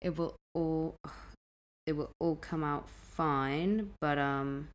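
Casting: young female podcast co-host, low register, casual and wandering in delivery, happy to swear. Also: steady tone, takes it slowly. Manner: informal, rambling, sweary.

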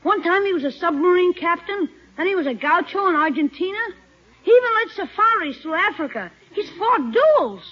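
A young American boy in a 1950s radio comedy, heard through a muffled old recording. The voice is extremely high and bouncy, with a cheeky, wisecracking manner. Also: lively intonation, moderate pace. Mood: cheeky, eager.

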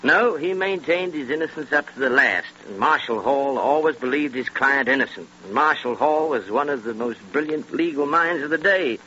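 A noisy, muffled 1930s radio recording carries a seasoned British police inspector. He reasons in a bright, forceful voice, deliberate and assured. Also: mid-range voice, lively intonation. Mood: reflective, assured.

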